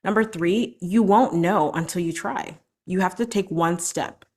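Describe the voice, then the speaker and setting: A woman's voice: lowish voice, unhurried, a little mellow. A woman hosting her own podcast as a vocal coach, talking alone in a chatty, encouraging way that is full of "like" and "right?". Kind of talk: solo podcast monologue.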